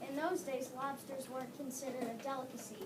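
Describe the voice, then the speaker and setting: A woman with a fairly high voice reads narration for a classroom-style history play, explaining calmly. She is picked up from afar in an echoey space with loud noise behind her. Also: a little fast. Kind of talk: readers theater narration. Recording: echoey room, distant mic, loud noise.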